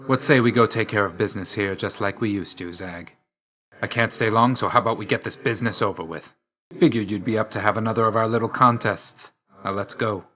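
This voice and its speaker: A man playing a grim, aloof god of death in a video game, terse and deadpan, stern yet grudgingly loyal. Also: on the low side. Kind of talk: scripted game character lines.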